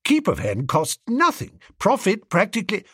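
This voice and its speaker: A man plays a hapless young English gentleman in a comic story. He babbles eagerly and nervously in a high, lively voice, close and dry. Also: normal speed, breathy edge, no echo, heavily acted. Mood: flustered, eager. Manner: flustered, babbling.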